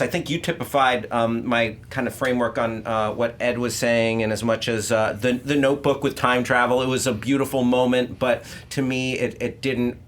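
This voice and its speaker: A man hosting and judging an unscripted debate show, speaking in a halting, casual way with informal slang. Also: mid-range voice, fairly bright tone. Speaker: host and judge of a debate show.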